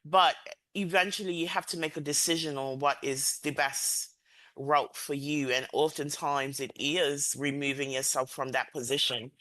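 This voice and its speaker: Podcast host, female: bright, forceful delivery, very dry sound, emphatic yet conversational interviewing. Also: low-pitched, fairly even intonation, moderate pace, no room sound.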